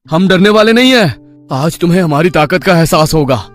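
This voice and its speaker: A man voicing a brave, determined villager in an animated story, speaking in an earnest, rallying, declamatory way. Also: fairly high pitch, moderate pace, faint music behind. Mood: defiant. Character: brave, determined male village friends defying a demon.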